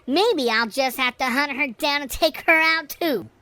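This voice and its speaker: A man's voice: very high-pitched, measured pace, pushed and bright, dry recording, voice pushed up, heavily acted. A man voicing a teasing, playful and sinister young female villain in a fan fiction story. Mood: sinister playfulness. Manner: teasing, taunting.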